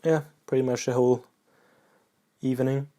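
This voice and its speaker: A man from Austria with an Austrian German accent, a native German speaker, chatting casually and haltingly to camera as a pipe-smoking vlogger. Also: medium pitch. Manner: halting, relaxed, pauses mid-sentence.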